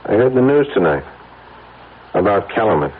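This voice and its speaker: Low-voiced, uneasy American husband, radio drama. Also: male voice, sing-song, normal speed. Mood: tense, guarded. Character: Gordon, uneasy secretive husband hiding a dark deal. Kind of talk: old-time American radio drama acting. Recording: dull radio drama recording, faint noise.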